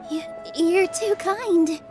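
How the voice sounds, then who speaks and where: A gentle young dancer in a game scene, soft-spoken and polite, shy yet brave. Her voice sits very high, and music plays behind her. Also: takes it slowly. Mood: earnest. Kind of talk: video game voice acting.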